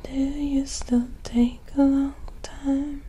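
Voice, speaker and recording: A woman with a soft, breathy, whispery voice, gentle and drowsy, in a sleepy ASMR style. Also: high voice, little pitch movement, slow pace, a little hiss or hum. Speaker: ASMR performer.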